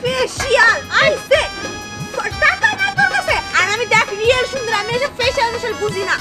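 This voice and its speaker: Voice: very high-pitched, big pitch swings, brisk, poor audio. An actor voicing a possessive, boastful young woman in love, pleading and bickering with a Bangladeshi accent in a comedy cartoon. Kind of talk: colloquial Bengali comedy cartoon dialogue. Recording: music behind the voice.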